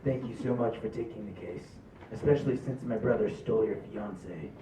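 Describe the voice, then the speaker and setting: An actor playing a clueless, awkward husband with a deadpan manner in a live comedy script read. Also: male voice, on the low side, fairly quick, slight background noise, close to an everyday voice. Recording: distant, echoey, muffled room capture.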